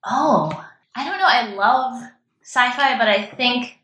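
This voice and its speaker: A woman's voice: a little high, lively intonation, slow pace, bright, forceful tone. A woman chatting informally and enthusiastically as a guest playwright in an interview. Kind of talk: casual interview chat. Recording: roomy, echoing sound.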